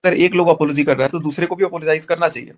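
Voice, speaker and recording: A man's voice: fairly high pitch, fairly even intonation, very fast. A man speaking insistently in a heated, casual discussion, repeating his phrases to make his point. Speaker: YouTuber in a group call. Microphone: group phone call.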